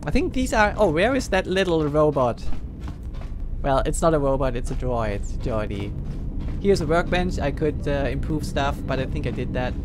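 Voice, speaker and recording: Higher-voiced German man streaming a game. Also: big pitch swings, moderate pace, background noise. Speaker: livestreamer, game host. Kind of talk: casual live game commentary. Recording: faint game ambience behind.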